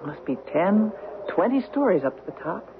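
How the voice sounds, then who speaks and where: An actress playing a curious, affectionate wife on vacation in a radio drama, speaking expressively and inquisitively. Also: female voice, medium pitch, big pitch swings, moderate pace. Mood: curious, fond. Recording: tinny, noisy old radio recording.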